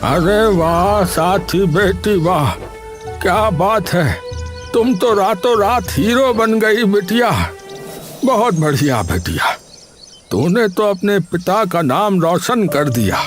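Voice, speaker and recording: A woman's voice: medium pitch, animated, over music. A woman voicing a proud, affectionate older village man in a cartoon, with an admiring manner. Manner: slow, admiring.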